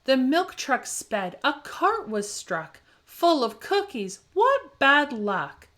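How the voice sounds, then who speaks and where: A woman reading a children's picture book aloud with expressive, rhythmic phrasing and dramatic emphasis for young listeners. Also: high voice, takes it slowly. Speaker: children's story reader.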